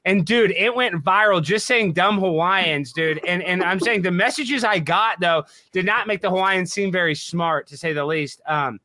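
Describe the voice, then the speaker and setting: A man with a fairly high, forceful and bright voice in a casual chat. He rambles through stories with slangy excitement, recorded close and dry. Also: moderate pace, hardly any pauses, dry recording. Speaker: podcast guest.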